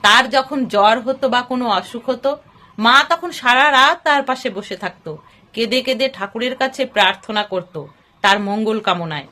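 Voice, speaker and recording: High, bright-voiced woman storyteller. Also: normal speed. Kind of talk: scripted moral story narration in character dialogue.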